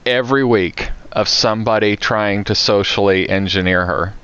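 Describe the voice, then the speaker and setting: Clear-voiced American man, slightly dull recording. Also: lowish voice, moderate pace, fairly bright tone, dry recording. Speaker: podcast co-host, IT security professional. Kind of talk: casual podcast chat.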